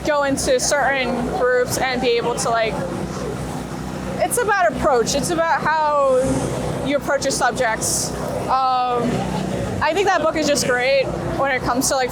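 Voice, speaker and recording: A woman chatting casually as an interviewed photographer, informal and excitable, swearing freely, on a clip-on mic outdoors. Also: high voice, rough recording. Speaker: street photographer being interviewed. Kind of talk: casual chat. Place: outdoors on busy city streets. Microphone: wireless clip-on mic. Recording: busy crowded street with passing traffic.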